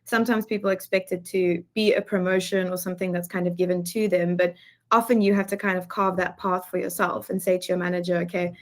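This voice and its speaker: Fairly low-voiced woman hosting a Q&A. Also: flat delivery, fast talker. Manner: affirming, with soft back-channel murmurs. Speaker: interview host. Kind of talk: live Q&A interview.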